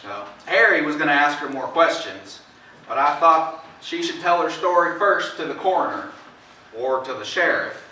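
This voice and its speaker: A man's voice: a little high, lively intonation, moderate pace, bright, forceful tone. A man playing a rambling farmer, echoey and distant. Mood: recounting, bewildered. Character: talkative, rambling farmer neighbor giving his account. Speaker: amateur stage actor. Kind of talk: stage drama acting, recounting events. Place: indoor theater stage, distant microphone. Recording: echoey stage from far off, faint noise.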